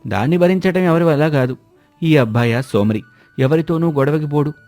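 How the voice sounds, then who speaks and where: Man putting on a high-pitched wily village matchmaker. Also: normal speed, a little mellow, soft background music, natural voice. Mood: wry. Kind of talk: animated moral story dialogue.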